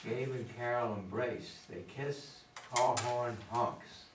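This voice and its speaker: A man's voice: mid-range voice, takes it slowly. A man reading stage directions as scripted narration at a play reading. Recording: distant, echoey, very noisy.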